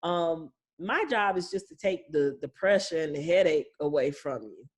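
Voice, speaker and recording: A woman's voice: low voice, lively intonation, moderate pace, husky. A woman speaking as a guest property manager, explaining things casually in an informal, reassuring, plain-spoken way.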